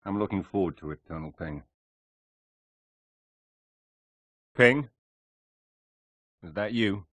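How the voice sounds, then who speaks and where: A British secret agent in a game cutscene. His voice is deep and closely recorded, with no room around it. He is composed and businesslike, delivering terse, dry lines with quiet determination. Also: lively intonation, moderate pace, dry recording. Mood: wary, dry.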